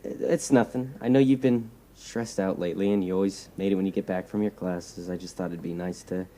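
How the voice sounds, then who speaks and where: Deep, mellow, bashful young man, played by an actor. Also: steady tone, a little fast, natural voice. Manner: hesitant, bashful. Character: awkward, eager-to-please young man Julius. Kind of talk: audio drama dialogue.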